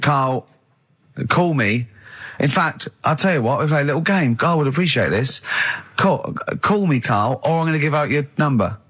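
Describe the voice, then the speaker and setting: A man's voice: a little high, animated, normal speed, fairly bright tone, breathy edge. Bantering British radio man. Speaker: radio show host.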